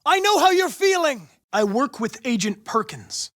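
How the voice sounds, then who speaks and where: A man's voice: very high-pitched, sing-song, moderate pace, a bit bright, no room sound, clean studio sound, exaggerated voice. A man playing a polite, determined young android police investigator in a video game, with formal, precise, controlled diction. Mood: urgent reassurance.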